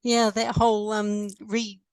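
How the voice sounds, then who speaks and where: A woman's voice: medium pitch, monotone, measured pace, clear, resonant tone, no echo. Hesitant New woman interviewer, dry sound. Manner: warm, hesitant, with many ums and restarts. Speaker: interviewer, former lecturer. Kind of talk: conversational interview.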